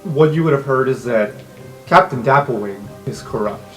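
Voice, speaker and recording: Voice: medium pitch, normal speed, poor audio. A game master narrating an improvised tabletop role-playing game in an unscripted, conversational way. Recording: music behind the voice. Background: background music.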